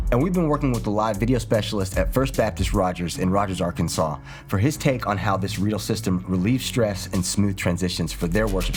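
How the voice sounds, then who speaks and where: A man's voice: on the low side, darkish tone. A man presenting scripted promotional narration for a product video.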